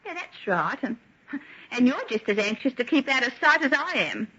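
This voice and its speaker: Cheeky runaway woman for radio drama: high, sharp, brash and restless, on a muffled noisy vintage recording. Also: very animated, normal speed, breathy edge, heavily acted. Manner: colloquial, exclamatory, brash. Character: rough-edged working-class runaway woman, wide-eyed, cheeky, restless. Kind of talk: golden age radio drama acting.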